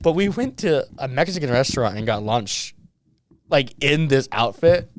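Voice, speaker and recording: A man's voice: medium pitch, sing-song, moderate pace. A man co-hosting a podcast, chatting casually, laughing often and restarting his sentences. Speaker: podcast co-host. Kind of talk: casual chat.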